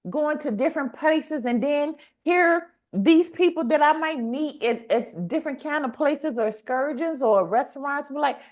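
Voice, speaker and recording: High-pitched, animated woman podcast host. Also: moderate pace, few pauses. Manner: animated, repeats phrases for emphasis, acts out quotes. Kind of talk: casual motivational conversation.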